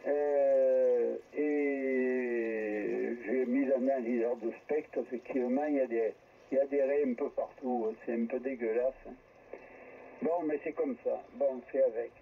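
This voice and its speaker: A man's voice: medium pitch, fairly even intonation, a little slow. An older man talking in a casual, hesitant, meandering way as an amateur radio operator on air. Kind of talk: casual ham radio chat. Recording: dull, faintly noisy amateur radio transmission.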